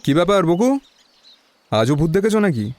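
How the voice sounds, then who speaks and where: Man acting a mellow, probing detective. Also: sits high, sing-song. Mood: concerned, reassuring. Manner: inquisitive, measured. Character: calm, probing young male detective. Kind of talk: Bengali audio story acting.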